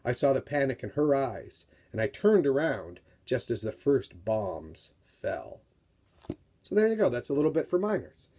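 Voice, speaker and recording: A middle-aged man giving an author's talk and read-aloud for young readers, telling it in an animated, dramatic storyteller's manner. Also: mid-range voice, moderate pace. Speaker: children's author reading from his book.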